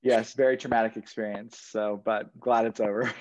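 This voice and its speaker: A man's voice: on the low side, flat delivery, moderate pace, darkish tone, no echo, dull audio. A man who works as a youth pastor, introducing himself in a friendly, easygoing way and laughing often. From Jacksonville, Florida. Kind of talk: casual self-introduction.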